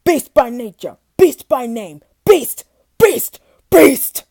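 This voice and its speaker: A woman's voice: fairly low pitch, lively intonation, slow, vocal fry, mellow, breathy edge, no room sound, clean studio sound, full character voice. A woman growling as a huge furious beast. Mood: roaring. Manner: growling, roaring.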